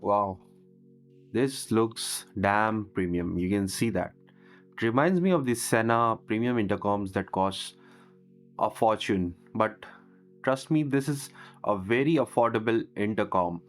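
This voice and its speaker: A man's voice: on the low side, animated, unhurried. A man talking through a product unboxing as a vlogger, in a casual reviewing style. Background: music.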